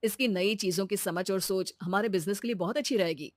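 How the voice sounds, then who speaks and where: Woman as supportive son, young adult, calm, explaining, cartoon moral story, close dry recording. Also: medium pitch, a little fast, dry recording, natural voice.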